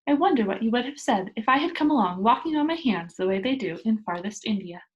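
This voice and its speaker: A woman's voice: fairly high pitch, expressive, fast talker, lo-fi sound, close to an everyday voice. A woman voicing a bold, boastful, free-spirited little girl in a storybook reading, with an emphatic, matter-of-fact delivery. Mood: defiant, boastful.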